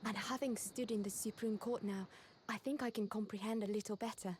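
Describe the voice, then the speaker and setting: A woman voicing a gentle, polite young woman reading out her own letter, softly and evenly. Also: mid-range voice, steady tone, a little fast, a little hiss or hum, lightly acted. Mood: wistful.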